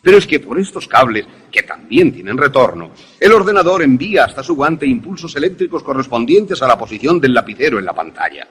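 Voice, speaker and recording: A man acting an enthusiastic professor in a radio drama, lecturing in a deliberate, didactic, theatrical way. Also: mid-range voice, very animated, a bit bright, breathy edge, full character voice. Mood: pleased, explanatory. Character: enthusiastic male professor demonstrating an invention to students.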